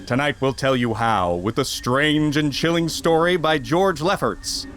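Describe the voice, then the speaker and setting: A man's voice: a little high, a little slow. A man delivering a dramatic, scripted introduction in the style of a 1950s radio announcer. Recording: loud music behind the voice.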